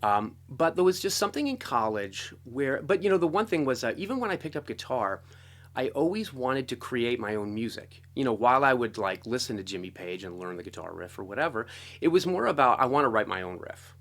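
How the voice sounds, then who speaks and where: A middle-aged American man telling his story casually as an interview guest, talkative and animated. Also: medium pitch, brisk. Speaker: interview guest, composer and guitarist.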